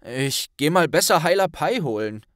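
A man's voice: a little high, lively intonation, normal speed, fairly bright tone, dry recording, clean studio sound, pitched above the performer's own voice. A man playing a blunt, loyal young male wizard friend with a colloquial way of talking in an audiobook. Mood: uneasy. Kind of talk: audiobook character voice.

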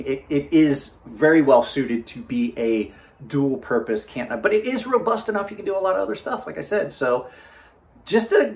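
A man in his forties with a slightly husky voice gives a casual, rambling product review, often repeating words and backtracking. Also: mid-range voice. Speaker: host of knife review channel.

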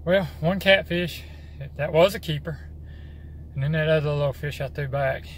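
A man's voice: on the high side, normal speed. A middle-aged man with a Texan accent, chatting casually on a fishing vlog while driving and at a lakeshore. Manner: relaxed, plain-spoken, thinking aloud. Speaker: fishing vlogger. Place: in a car and outdoors at a windy lakeshore. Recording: noisy car and wind background.

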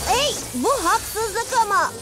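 A woman's voice: very high-pitched, lively intonation, slow pace, heavily acted. A woman voicing a good-natured, playful six-year-old boy superhero, eager and earnest, in a children's cartoon. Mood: competitive. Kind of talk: animated children's cartoon dub. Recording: music behind the voice.

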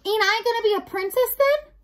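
A woman voicing a simple, stubborn, wistful young serving girl in a storybook, with uneducated rustic speech, loud and plaintive. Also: very high-pitched, brisk, voice pushed up.

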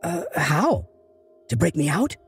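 Man doing a bold female prisoner, teasing, lively pitch. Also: takes it slowly, slightly rough, faint music behind, heavily acted. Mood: curious.

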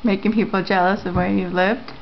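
A woman interviewing family members at home, repeating their answers back and asking gentle questions. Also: medium pitch, flat delivery, a little fast, gravelly, noisy background. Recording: lo-fi home camera audio.

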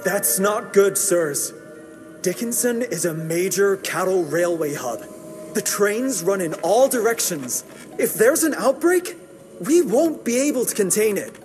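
An actor playing an earnest young inventor in a game, polite and precise. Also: male voice, sits high, animated, measured pace, darkish tone, soft background music. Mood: alarmed. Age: young adult. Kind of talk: video game cutscene acting.

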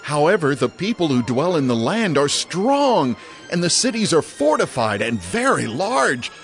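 Wary, animated Israelite spy. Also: male voice, a little high, measured pace, soft background music. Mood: warning. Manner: emphatic, cautioning. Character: wary male Israelite spy giving warning. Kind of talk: dramatized audio Bible acting.

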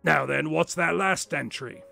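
A man voicing a surly, fierce market poultry seller in an audiobook, with a loud, impatient manner. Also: on the high side, unhurried, soft background music, pitched above the performer's own voice, heavily acted. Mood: smug, challenging. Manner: shouting, gruffly impatient.

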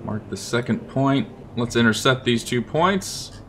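A man giving casual, unhurried gameplay commentary, thinking aloud as he plans. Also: medium pitch, lively intonation, a bit bright, slight background noise. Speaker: gaming video host.

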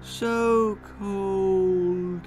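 Little wooden puppet come alive, squeaky n whimpery, way high, storybook thing. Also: male voice, fairly even intonation, very slow, darkish tone, soft background music. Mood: plaintive, pleading. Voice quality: tiny, squeaky voice. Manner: plaintive, whimpering. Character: pint-sized living wooden dummy, plaintive and frightened.

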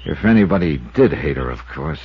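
Skeptical American insurance sleuth: wry, probing, very varied intonation, 1950s radio acting on a muffled old recording. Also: male voice, extremely low pitch, animated, a little fast, a little hiss or hum. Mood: brooding, speculative. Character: shrewd, probing, skeptical male insurance investigator.

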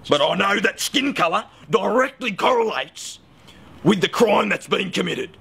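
A man's voice: high voice, animated, moderate pace, gravelly, bright, forceful tone, breathy edge, full character voice. A young man playing an unhinged, aggressive, sweary policeman in a comedy sketch. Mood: defiant. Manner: sweary, threatening. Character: unhinged, aggressive, bigoted young male cop.

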